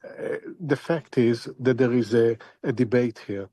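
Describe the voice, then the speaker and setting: Halting, deliberate male expert analyst, dry sound. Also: medium pitch, dark tone, dry recording. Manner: halting, deliberate, repeating words as he thinks. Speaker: expert analyst, interview guest. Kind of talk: spoken analysis in a news interview.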